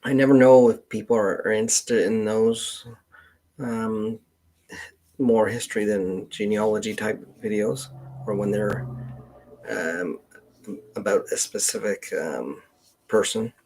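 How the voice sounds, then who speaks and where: A man chats casually as the host of a live stream. His voice sits on the low side, husky and heavy with creaky fry. He talks off the cuff, halting and hesitating as he puts his thoughts together. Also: steady tone, darkish tone, poor audio. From Canada.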